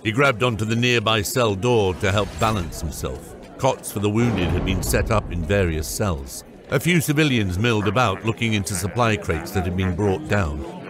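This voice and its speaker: A man reading scripted narration for a fantasy audiobook. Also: lowish voice. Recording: loud music under the voice. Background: music.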